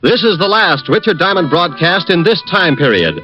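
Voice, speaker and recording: A man's voice: on the high side, sing-song, normal speed. A man announcing the closing credits and notices in a polished, formal manner for an old radio program. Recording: dull old radio recording with music.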